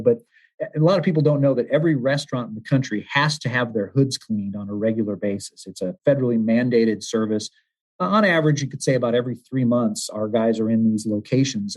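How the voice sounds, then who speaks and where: A man's voice: medium pitch, darkish tone, poor audio. A middle-aged man from the western United States, a business executive answering interview questions in a friendly, explanatory way with frequent fillers. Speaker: franchise executive guest.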